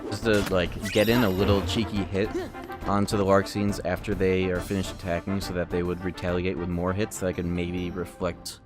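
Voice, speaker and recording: A man with a low voice commentates casually on the game he is streaming. He mutters to himself and swears freely, with loud game music running behind him. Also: level tone, normal speed. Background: loud video game music and effects.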